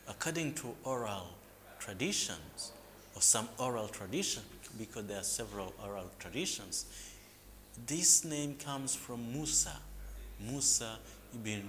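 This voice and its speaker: A man from Mozambique, explaining local history as an interview guest in a measured, lecturing way, with hesitant fillers and restarts. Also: fairly low pitch, a bit bright. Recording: reverberant room with faint background noise.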